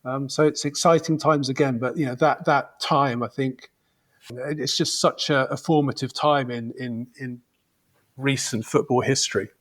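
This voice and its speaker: A man's voice: medium pitch, steady tone, normal speed, fairly bright tone. A man in his fifties, a football fan invited as a podcast guest, chatting and reminiscing in a rambling, reflective way. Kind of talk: casual podcast chat.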